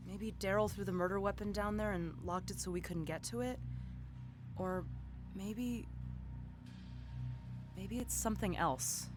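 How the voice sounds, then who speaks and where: A woman's voice: medium pitch, natural voice. A woman acting in an audio drama as a determined but rattled private investigator. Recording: clearly noisy background ambience.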